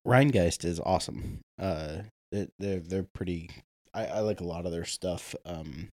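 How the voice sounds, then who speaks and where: A man co-hosting a casual chat, reacting quickly and restarting his sentences. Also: sits low, a little mellow. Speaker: podcast co-host.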